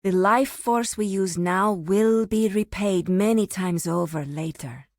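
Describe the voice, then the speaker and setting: Actress as solemn female grove warden, fantasy game, slow delivery, commanding, formal, dry close recording. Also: medium pitch, no echo, close to an everyday voice. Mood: measured, instructive. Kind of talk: scripted fantasy game dialogue.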